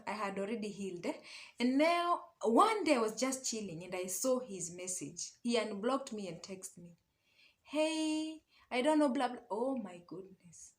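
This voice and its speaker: A young adult Kenyan woman chatting casually in a personal storytime, dramatic and expressive, switching languages mid-sentence and tossing out rhetorical questions. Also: a little high, moderate pace. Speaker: storytelling vlogger.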